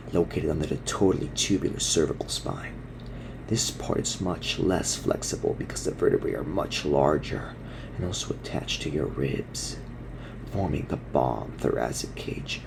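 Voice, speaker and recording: A man voicing a laid-back gorilla surfer dude who teaches in slang, in a gravelly, breathy half-whisper for an ASMR parody. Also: extremely low pitch, noisy background, exaggerated voice. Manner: surfer slang, soothing instructional delivery.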